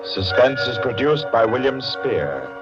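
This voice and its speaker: A man announcing formally and in a measured way in scripted 1940s radio style. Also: mid-range voice, sing-song. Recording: muffled 1940s radio recording with music.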